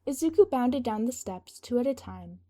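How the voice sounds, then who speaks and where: A woman's voice: on the high side, animated, moderate pace, dark tone. A woman reading a scripted piece of fan fiction as its narrator.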